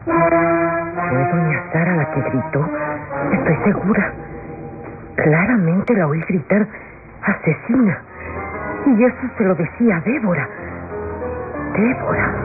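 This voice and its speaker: Frightened faithful maid, tinny radio sound. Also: female voice, lowish voice, animated, a little slow, long pauses. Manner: fearful, melodramatic. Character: frightened, faithful female household servant. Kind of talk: classic radio soap acting. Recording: tinny old radio recording, music behind.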